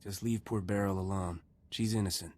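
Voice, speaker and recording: An actor voicing a wry, cocky gunslinger smuggler captain in a video game, dry and sardonic. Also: male voice, sits low, fairly even intonation, normal speed, a little mellow. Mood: cool, sardonic.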